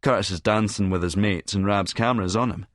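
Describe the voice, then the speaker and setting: A man's voice: fairly low pitch, fairly quick, no room sound, clean studio sound, natural voice. A man playing a cynical middle-aged Scottish schemer who narrates in a verbose, sardonic, lecturing manner. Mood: mocking, amused. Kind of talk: first-person audiobook narration with dialogue.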